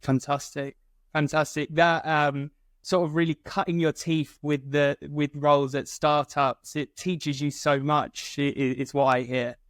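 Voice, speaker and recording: A man's voice: on the high side, flat delivery, measured pace, fairly bright tone, no room sound, clean studio sound. A man hosting a podcast interview, asking polite, encouraging questions. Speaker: podcast host.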